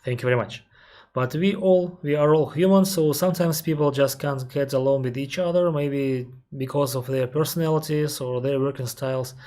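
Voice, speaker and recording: A man with a Russian accent, an airline captain on a live stream, reading out viewer questions and answering them carefully, often pausing with "uh" and restarting his sentences. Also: mid-range voice, darkish tone. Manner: careful, explanatory, pauses with frequent "uh" and restarts; reads viewer questions aloud, then answers at length.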